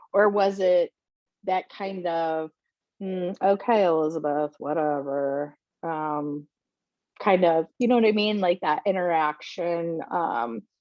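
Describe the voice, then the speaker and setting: A chatty woman hosting a podcast, winding through her questions with plenty of "um"s and "you know"s. Her voice sits at a middle pitch. The audio is dry and dull, like a remote call. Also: darkish tone, no room sound. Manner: chatty, rambling questions with fillers. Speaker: podcast host. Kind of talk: casual interview chat.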